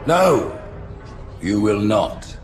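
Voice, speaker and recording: An actor playing a stern alien high prophet in a game cutscene, speaking formally as if pronouncing judgment. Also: male voice, sing-song, extremely slow, a little hiss or hum, heavily acted. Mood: stern condemnation. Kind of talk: dramatic game cutscene acting. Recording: music behind the voice.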